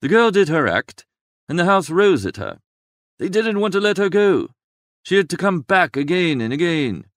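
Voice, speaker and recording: High-pitched, animated male storyteller. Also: normal speed, dry recording, clean studio sound. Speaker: audiobook narrator. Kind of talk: expressive first-person literary narration.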